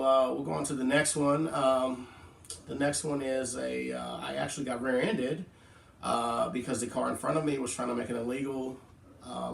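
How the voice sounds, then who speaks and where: Sick, gravelly-voiced man vlogging. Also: medium pitch, reverberant, recorded from a distance. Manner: rambling, many fillers, wry asides. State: sick, hoarse from cough and flu. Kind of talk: casual talk to camera. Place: indoors at home.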